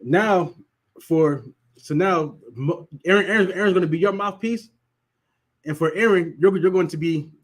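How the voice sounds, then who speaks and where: A man's voice: high-pitched, normal speed. A man who stutters and repeats words, casually teaching a Bible class and laughing often. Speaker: teacher leading a Sabbath class.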